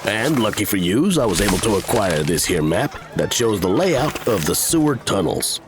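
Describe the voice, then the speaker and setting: A man's voice: lowish voice, big pitch swings, normal speed, background noise. A man playing a self-assured, dramatic criminal fixer briefing thieves in a fantasy audio drama.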